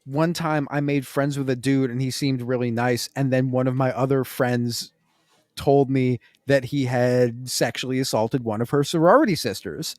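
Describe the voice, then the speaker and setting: A man's voice: medium pitch, steady tone, normal speed, no room sound, clean studio sound. A man co-hosting a podcast, telling stories in a loose, conversational way with plenty of fillers and casual swearing. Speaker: podcast co-host.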